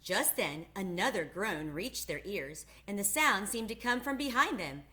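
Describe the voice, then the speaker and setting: A woman reading a children's book aloud, narrating with expressive storytelling. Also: mid-range voice, a little slow. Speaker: reader.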